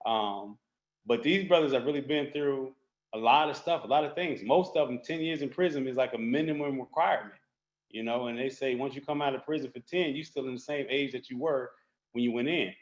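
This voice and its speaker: A man speaking as a guest, relaxed and rambling, with casual street slang. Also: mid-range voice, a little fast, roomy sound, dull audio. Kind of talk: casual conversation.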